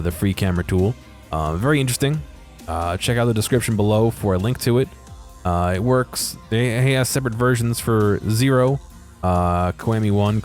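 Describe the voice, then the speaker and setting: Low-voiced man, hesitant game commentary. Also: darkish tone. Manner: hesitant, rambling, understated. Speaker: video creator commentating on game exploration. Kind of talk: casual unscripted commentary over game footage.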